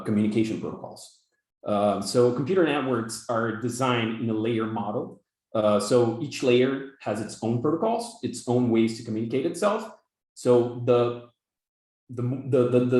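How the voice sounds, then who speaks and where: Brazilian man teaching, halting with fillers. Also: mid-range voice, a little mellow. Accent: Brazilian Portuguese. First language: Portuguese. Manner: halting, many fillers and repeated words. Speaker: workshop instructor. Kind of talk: live coding workshop lecture. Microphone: video call webcam. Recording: echoey room on a video call.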